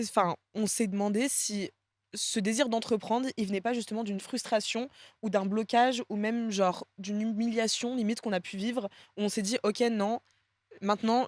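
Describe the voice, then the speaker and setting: A woman's voice: mid-range voice, dry recording. A woman hosting a podcast, asking guests long questions in a casual, friendly way. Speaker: podcast host.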